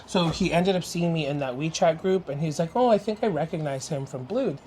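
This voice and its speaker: A man's voice: on the high side, fairly quick, a little mellow, slight background noise, poor audio. A young man from Canada, an interview guest talking casually and openly, laughing easily. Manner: open and chatty, laughs easily. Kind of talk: casual chat.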